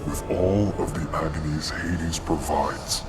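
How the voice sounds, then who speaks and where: An actor playing Death, a solemn, menacing reaper who makes slow, formal, ominous pronouncements in an audio drama. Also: male voice, a bass voice, fairly even intonation. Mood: solemn menace. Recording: loud music over the voice.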